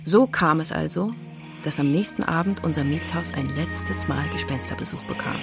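A woman narrating a children's radio drama in a wry, expressive storytelling style. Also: low voice, moderate pace, vocal fry. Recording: music behind the narration.

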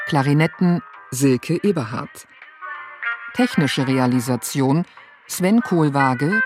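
Radio play announcer: formal scripted credits, unhurried, measured pace, clear music bed. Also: medium pitch.

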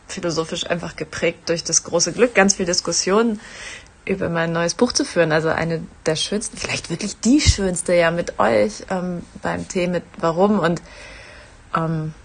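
A woman with a low, husky voice speaks a reflective audio message as a philosopher guest. Her delivery is even and subdued in melody. She is thoughtful and halting, searching for the right words.

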